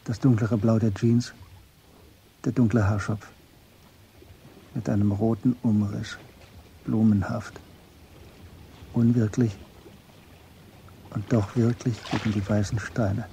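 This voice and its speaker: A man narrating a literary crime radio drama in a measured, grave manner, with a husky, breathy voice. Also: fairly low pitch, flat delivery, warm tone, slight background noise.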